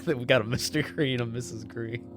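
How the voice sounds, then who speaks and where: A man's voice: mid-range voice, fairly even intonation, a little fast, music in the background. A man playing in a tabletop game show, chatting casually with a teasing manner. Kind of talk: casual chat.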